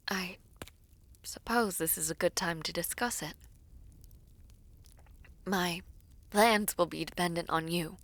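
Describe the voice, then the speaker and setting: A woman playing a devoted princess in an audio roleplay, tender and formal, with measured, hesitant phrasing. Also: fairly low pitch, fairly bright tone, dry recording. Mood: composed. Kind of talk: intimate audio roleplay acting.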